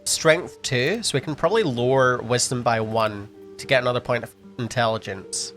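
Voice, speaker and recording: A man's voice: mid-range voice, moderate pace, fairly bright tone, faint music behind. A man commentating a video game at a casual pace, sniffly, thinking aloud with frequent ums and restarts. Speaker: gameplay commentator. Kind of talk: casual let's-play commentary.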